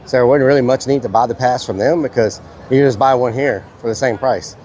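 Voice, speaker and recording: A man's voice: mid-range voice, fast talker, a little mellow, slight background noise. Stuffy-nosed man streaming from a convention. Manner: rambling, chatty, talks to viewers. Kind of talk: casual live-stream chat. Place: busy comic convention, outdoors.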